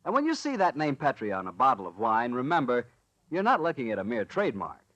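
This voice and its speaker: A man's voice: mid-range voice, huge pitch swings, fast, fairly bright tone, dry recording, highs cut off. A man with an American accent hosting and announcing in a polished, emphatic 1940s radio sponsor style.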